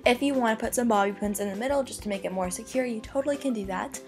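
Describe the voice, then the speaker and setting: A young woman talking through a hair tutorial in a casual, chatty way, her voice a little hoarse from losing it. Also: on the high side, fast, faint music behind. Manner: chatty, upbeat, many "like" fillers. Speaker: beauty vlogger. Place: bedroom.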